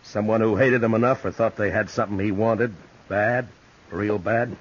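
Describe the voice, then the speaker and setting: An actor playing a sober, plain-spoken Old West lawman in a 1950s radio western, speaking tersely and gravely. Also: fairly low pitch, steady tone, normal speed, close to an everyday voice. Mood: grim, weary. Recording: muffled, noisy old radio recording with clicks.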